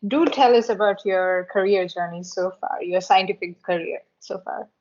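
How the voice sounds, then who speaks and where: A woman's voice: mid-range voice, moderate pace, darkish tone, a little hiss or hum. A woman hosting a podcast interview, friendly and encouraging as she introduces and questions her guest. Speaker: podcast host. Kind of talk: casual interview. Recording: dull lo-fi audio.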